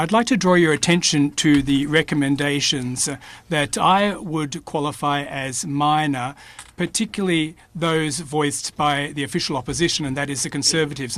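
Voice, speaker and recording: Man with a slightly high voice, formal and halting. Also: fairly bright tone, no echo. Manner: measured, halting formal delivery. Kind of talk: formal committee testimony.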